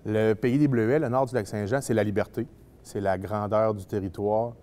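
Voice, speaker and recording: A man's voice: lowish voice, steady tone, normal speed, dark tone, a little hiss or hum. A man answering interview questions, hesitant, often repeating words as he searches for them.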